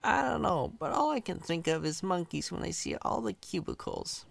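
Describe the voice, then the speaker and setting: A man's voice: high voice, big pitch swings, moderate pace, gravelly, dry recording, full character voice. A man playing a chatty, cocky, friendly company employee in an ASMR roleplay, casual, reassuring and playfully boastful. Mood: easygoing, friendly.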